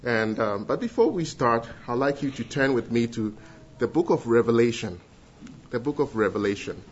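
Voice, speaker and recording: A middle-aged man from Ghana with a Ghanaian accent, giving an earnest church testimony and leading prayer, with pauses and restarts. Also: medium pitch, animated, highs cut off, a little hiss or hum. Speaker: guest speaker giving testimony.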